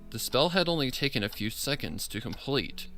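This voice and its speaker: A man's voice: mid-range voice, lively intonation, normal speed, bright, forceful tone, faint music behind. A man giving a dramatic narration of a horror story. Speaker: audiobook narrator.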